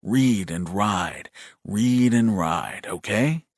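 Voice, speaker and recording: A patient, protective big brother, played by a male audiobook reader, speaks slowly in a middle register. His voice is coaxing and reassuring, with lively swings in pitch. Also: no room sound, clean studio sound. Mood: sing-song calming. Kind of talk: audiobook character voice.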